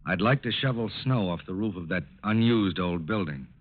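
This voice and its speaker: An actor playing a calm, businesslike FBI agent in a 1940s radio drama, terse, polite and purposeful. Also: male voice, sits low, normal speed, a little hiss or hum, close to an everyday voice. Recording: tinny narrow-band old radio recording.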